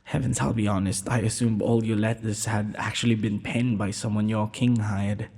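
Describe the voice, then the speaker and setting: A man's voice: fairly low pitch, flat delivery, normal speed, creaky, darkish tone, slightly rough. A man playing a shy, tender, self-doubting young prince in a romantic audio roleplay, speaking in hesitant, formal courtly phrases. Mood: bashful, charmed. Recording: long hall-like reverb.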